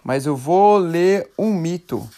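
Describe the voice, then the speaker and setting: Brazilian podcast host, thirties, male, speaks a bit high, loose and self-correcting. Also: expressive, takes it slowly, dark tone. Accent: Brazilian Portuguese. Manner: chatty, rambling, self-correcting. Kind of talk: casual solo podcast talk.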